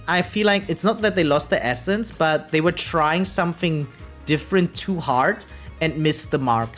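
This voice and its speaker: A video game streamer chatting casually and informally to viewers in an animated, opinionated way. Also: mid-range voice. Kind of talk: casual livestream chat.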